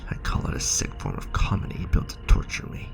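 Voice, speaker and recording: A man's voice: brisk, over music, natural voice. A man playing a proud, guilt-ridden captive clan warrior, terse and stiffly formal, in a sci-fi ASMR roleplay. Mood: bitter.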